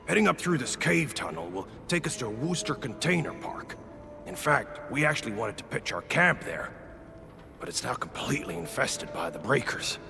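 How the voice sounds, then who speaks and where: An actor playing a weary, anxious male refugee settler in a video game, speaking earnestly and haltingly in rough, folksy phrases. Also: medium pitch, big pitch swings. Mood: grave warning. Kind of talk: dramatic video game voice acting. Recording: long hall reverb, music behind. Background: game music.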